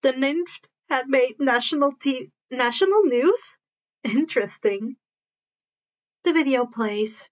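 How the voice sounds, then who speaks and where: A woman's voice: high voice, takes it slowly, lo-fi sound. A woman reading a story aloud as narrator, now and then stumbling over and repeating words, on a modest home recording. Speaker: fan fiction narrator.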